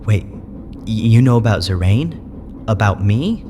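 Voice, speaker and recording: A man playing an earnest, bewildered young space adventurer in an audio drama, halting and incredulous. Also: on the low side, lively intonation. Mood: astonished. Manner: halting, incredulous, stammering questions. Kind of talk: full-cast audio drama acting. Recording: clear noise bed behind the voice.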